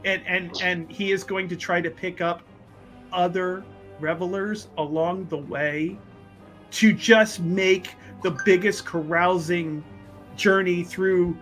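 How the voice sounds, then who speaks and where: A man talks casually as a player in a tabletop roleplaying game. His voice sits high for a man, and soft music plays behind him. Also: fairly even intonation, slow.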